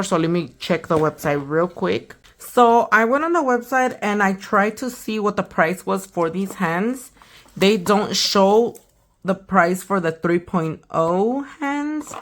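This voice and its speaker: A young woman hosting a nail channel, chatting casually and slangily while unboxing, a little hoarse from a sore throat. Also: mid-range voice. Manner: chatty, slangy, trailing off to a whisper. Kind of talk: casual product unboxing chat.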